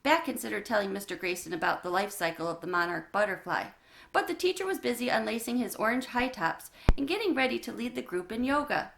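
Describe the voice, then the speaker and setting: A woman reading a children's book aloud in a warm, expressive storytelling manner. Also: mid-range voice, fast talker, creaky.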